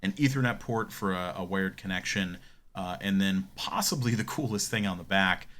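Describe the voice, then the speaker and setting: A man with a fairly low voice that has a clear bright edge. He talks like a podcast co-host, casual and opinionated, laying out his reasoning as he goes. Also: moderate pace. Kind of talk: casual gaming tech discussion.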